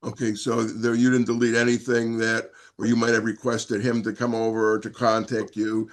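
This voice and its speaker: Man, defense counsel, average-pitched, wordy and halting courtroom questioner. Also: completely flat delivery. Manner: halting, repetitive, wordy phrasing. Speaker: defense attorney. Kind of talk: courtroom cross-questioning and argument.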